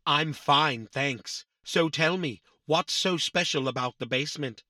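A woman playing a small, self-satisfied robot in a family audio drama. The voice is sharp and bright, sitting low, in a dry recording. It talks literally and with plenty of sass. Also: unhurried, no room sound. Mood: curious. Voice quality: funny-sounding. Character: proud, childlike, very intelligent little robot.

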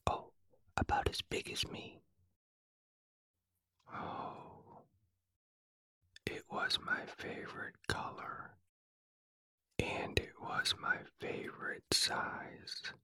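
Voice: very high-pitched, sing-song, very slow, pauses often, no echo, exaggerated voice. A reader whispering the lines of a small, shy young piglet in a storybook. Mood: timid whisper. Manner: soft whisper.

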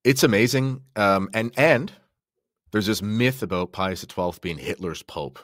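Male podcast commentator, low register, enthusiastic explainer, dry recording. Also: expressive, a little slow, no echo. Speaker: podcast guest or co-host commentator.

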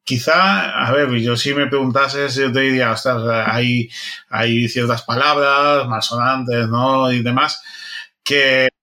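A man's voice: mid-range voice, steady tone, slow pace, pushed and bright. A man with a Spain accent hosting a casual podcast conversation, thinking aloud and checking for agreement as he goes. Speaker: podcast host.

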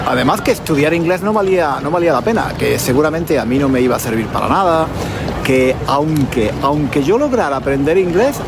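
Male podcast teacher, accent from Spain, slightly high voice, conversational storytelling, emphatic repetition, noisy outdoor ambience. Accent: Spanish, from Spain. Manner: deliberate, repeating and rebuilding phrases for emphasis. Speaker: podcast host, Spanish teacher. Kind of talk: conversational storytelling for language learners.

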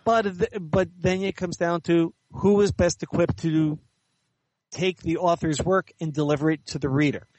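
A man giving measured, articulate, explanatory answers as an author and editor being interviewed in conversation. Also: on the high side, fairly even intonation, darkish tone, no room sound, poor audio.

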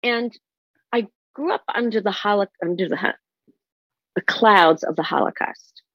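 Older female interview guest, breathy husky tone, deliberate and emphatic, muffled dry sound. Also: medium pitch, a bit bright, no room sound. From Israel. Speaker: guest, spiritual counselor. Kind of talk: personal storytelling in an interview.

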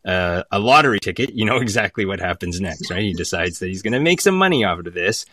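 A man's voice: deep voice, expressive, quick pace, bright, forceful tone, no echo, clean studio sound. A man from Alberta with a Canadian accent, explaining conversationally as a guest writer in an interview. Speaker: guest writer of audio drama.

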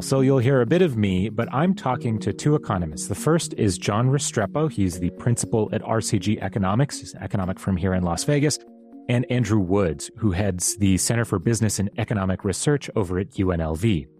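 A man's voice: fairly low pitch, animated, brisk, music in the background. A man hosting a podcast, introducing his guests in a scripted way. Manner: announcing, introductory. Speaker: podcast host.

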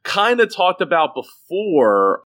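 A man's voice: high voice, very animated, takes it slowly, fairly bright tone. A man hosting a podcast, talking casually and emphatically about business, often repeating short phrases. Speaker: podcast host. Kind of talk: animated casual business discussion.

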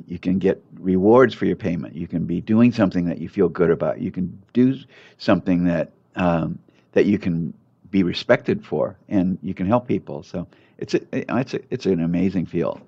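Gravelly older man, halting speech. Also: deep voice. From United States. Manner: halting, many fillers and restarts. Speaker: doctor, interview guest. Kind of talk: casual conversational interview. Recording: muffled lo-fi audio.

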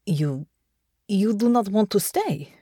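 A woman voicing a blunt but caring foreign woman, speaking deliberately and dropping small words. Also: medium pitch, lively intonation, dark tone, slightly rough, dry recording, studio quality. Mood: confused. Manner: slow, deliberate, dropping articles.

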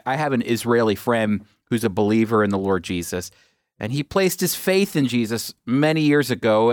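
A man's voice: dry recording, clean studio sound. A man hosting a radio program and teaching the Bible in an earnest, emphatic way, lifting his voice on key points. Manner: earnest, emphatic, with pitch climbing on key phrases.

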